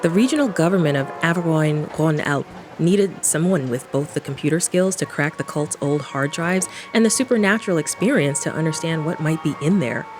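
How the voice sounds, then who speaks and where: A woman's voice: sits low, normal speed, hardly any pauses, darkish tone, faint music behind, close to an everyday voice. An actress playing a sardonic woman engineer who investigates the paranormal, narrating an audio drama in an informal, irreverent way. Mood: wry. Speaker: protagonist narrator.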